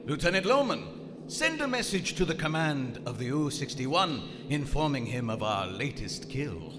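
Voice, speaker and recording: A man's voice: fairly high pitch, sing-song, moderate pace, noisy background. A man playing a proud, cold German naval captain in a radio drama, speaking in a formal, precise, stiff way. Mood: commanding. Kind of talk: live radio drama, first-person narration and orders. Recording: live theater, long hall reverb. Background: music.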